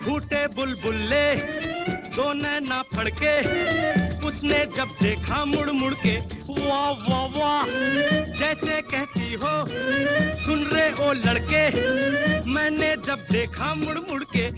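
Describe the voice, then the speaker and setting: A man's voice: very high voice, takes it slowly, long pauses. A man singing a playful old Indian film song over its musical accompaniment. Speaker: singer. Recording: dull vintage film song with loud band. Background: loud film music.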